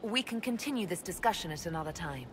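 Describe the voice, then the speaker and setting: A woman's voice: fairly low pitch, expressive, fast talker, background noise, natural voice. An actress playing a resolute, caring female army leader in a video game, composed, earnest and direct. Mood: calm, curious. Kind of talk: dramatic video game dialogue.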